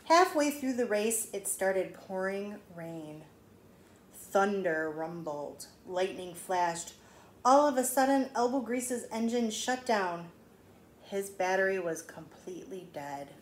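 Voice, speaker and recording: A woman's voice: medium pitch, lively intonation, takes it slowly. Female storyteller for young children, emphatic teacherly read-aloud with asides, roomy echo and light background noise. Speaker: children's teacher reading a picture book aloud. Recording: echoey room with faint background noise.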